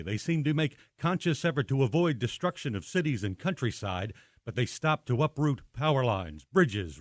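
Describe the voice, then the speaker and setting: An actor playing a businesslike newsroom announcer in a radio drama, reading formal, telegraphic bulletins. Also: male voice, mid-range voice, dry recording, studio quality, lightly acted. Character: businesslike newsroom bulletin reader during an invasion.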